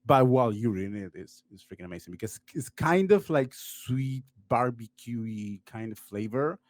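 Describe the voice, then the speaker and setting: A man chats as a podcast guest, rambling and filling gaps with "like" and "uh". His voice sits at an ordinary pitch and sounds dry and close on the mic. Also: expressive, moderate pace, dry recording. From Uruguay. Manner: rambling, heavy on "like" and "uh" fillers. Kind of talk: casual podcast chat.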